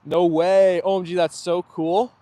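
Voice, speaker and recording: Man, friendly stream host, slightly high voice, loose chatty delivery outside. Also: level tone, measured pace, mellow, no echo. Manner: friendly, chatty, thanks viewers often. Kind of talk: casual live chat reading viewer comments.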